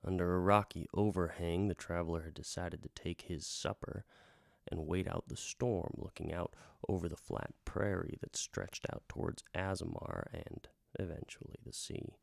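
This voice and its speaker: A man telling a fantasy tale as a folksy bard, in a florid, theatrical manner with hushed, dramatic emphasis. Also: sits low, expressive, moderate pace, vocal fry, dry recording, clean studio sound. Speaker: host, storytelling bard. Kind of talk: theatrical first-person fantasy storytelling, radio drama.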